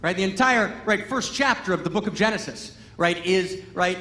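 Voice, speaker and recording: A man's voice: high-pitched, moderate pace, pushed and bright. Chatty man preaching in an echoey hall. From Pittsfield, Massachusetts, US. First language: Hebrew. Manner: conversational, peppered with "you know" and "right?", building to emphatic passages. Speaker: guest teacher at a Sunday service. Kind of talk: sermon told as humorous personal story. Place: large church hall. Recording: echoey church hall with faint ambience.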